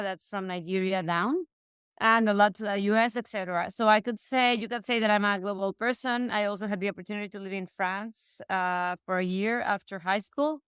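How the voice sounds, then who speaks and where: A woman's voice: mid-range voice, a bit bright, clean tone, no room sound, clean studio sound. A woman in her forties from Mexico, chatting as a podcast guest and often restarting her sentences. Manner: chatty, frequent restarts and self-corrections. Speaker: guest, real estate investor. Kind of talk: casual interview conversation.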